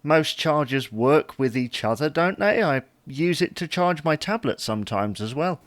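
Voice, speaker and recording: A man's voice: on the high side, lively intonation, normal speed, no room sound, close to an everyday voice. A British man playing an easygoing, slightly hapless husband, mildly defensive, in an audio sitcom. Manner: mild, querying, gently defensive. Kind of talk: audio sitcom acting, domestic dialogue.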